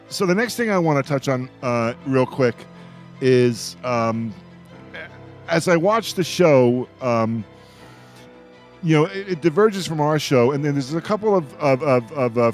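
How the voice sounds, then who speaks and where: Male roundtable host, medium pitch. Effusive fan talk, halting delivery with doubled words. Music in background. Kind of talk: enthusiastic fan discussion.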